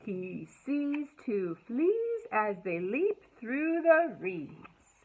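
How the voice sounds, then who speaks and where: Expressive, high-pitched woman reading to children. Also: extremely slow. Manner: expressive, sing-song, addressing young children. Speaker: library storytime host. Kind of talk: children's storytime, read-aloud and singalong.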